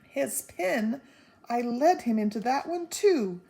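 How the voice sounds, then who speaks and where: A woman reading aloud and voicing a small, anxious mother who frets and weeps, speaking high and emphatically. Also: lively intonation, takes it slowly, vocal fry, dark tone, full character voice. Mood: distraught. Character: anxious, tearful tiny mother, fretful and excitable.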